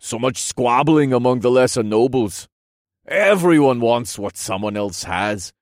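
A man's voice: mid-range voice, animated, measured pace, no echo, studio quality, pitched above the performer's own voice, close to an everyday voice. A man playing a stately, genial fantasy king. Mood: weary, thoughtful. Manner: formal, stately phrasing.

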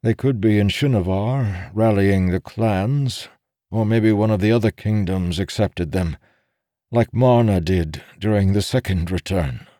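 A man's voice: on the low side, measured pace, warm tone, dry recording, studio quality, pitched above the performer's own voice, lightly acted. A man voicing a weary, earnest soldier in an audiobook story, with a grave and formal manner. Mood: worried, earnest. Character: weary, earnest soldier, frustrated and doubted as madman.

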